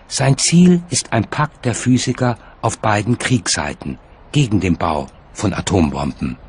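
A man's voice: lowish voice, a little hiss or hum. A man reading scripted narration for a documentary. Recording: music bed under the voice. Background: music.

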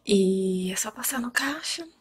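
A young woman with a Brazilian Portuguese accent speaks in a breathy whisper, soft and reassuring, in an unhurried ASMR hairdresser roleplay. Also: a little high, expressive. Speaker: ASMR creator playing a hairdresser. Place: small room at home, indoors.

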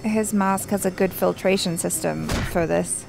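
Slow-talking young woman reacting over game music. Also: fairly low pitch, steady tone, creaky. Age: young adult. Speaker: reaction show host. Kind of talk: casual reaction commentary. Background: game music and effects.

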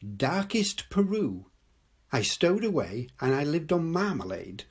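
An older man voicing a small, polite male bear in a storybook reading, with a husky voice. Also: fairly high pitch, big pitch swings, unhurried, heavily acted.

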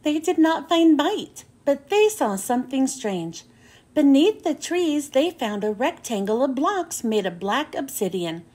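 High-pitched, expressive woman reading a children's storybook. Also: measured pace. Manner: careful, expressive phrasing for young listeners. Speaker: children's book reader and host. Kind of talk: read-aloud storybook narration for children.

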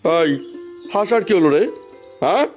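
A man's voice: sits high, normal speed, a little mellow, faint music behind, lightly acted. A man voicing a self-important yet servile, henpecked village landlord in a cartoon. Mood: eager, fawning. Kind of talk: Bengali cartoon folk tale voice acting.

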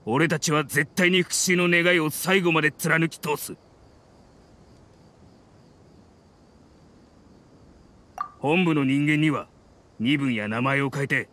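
A man voicing a grim, resolute mercenary in a video game, speaking in a rough, blunt and earnest dramatic manner. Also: fairly high pitch. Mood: resolute, vengeful. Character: grim, resolute mercenary man bent on avenging his leader. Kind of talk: dramatic anime-style game acting. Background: soft music.

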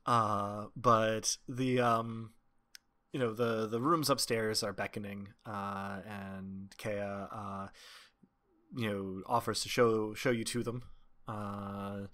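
A man with a fairly low voice runs a tabletop game. He narrates the scene haltingly as he improvises, with a wry touch. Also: flat delivery, pushed and bright. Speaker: game master.

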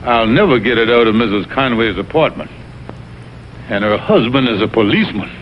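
A man's voice: medium pitch, fairly quick, lightly acted. An actor playing a blustering, scheming colonel in a vintage animal cartoon, pompous and oratorical in his arguing. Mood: nervous, scheming. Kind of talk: vintage cartoon sitcom acting. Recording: muffled, noisy vintage TV cartoon soundtrack.

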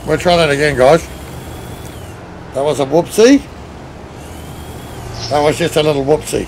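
A man's voice: fairly high pitch, a little slow, darkish tone, slight background noise, rough recording. Australian man streaming, bantering with chat. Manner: banters, thanks chat viewers, swears playfully. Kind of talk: casual gaming chat. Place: home gaming room, indoors. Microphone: headset.

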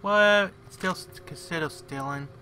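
A man's voice: fairly high pitch, level tone, moderate pace, sharp tone, slight background noise. A man giving casual, off-the-cuff video game commentary, muttering to himself in short fragments. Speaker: gamer, let's player.

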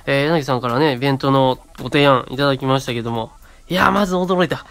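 A man's voice: mid-range voice, fast talker, a bit bright, clear, resonant tone. A man around thirty co-hosting a casual radio show, talking in short phrases with frequent little tag words.

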